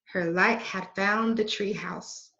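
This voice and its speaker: A woman reading a children's book aloud in a deliberate, expressive way. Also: medium pitch. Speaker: read-aloud storyteller. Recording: roomy, dull lo-fi recording.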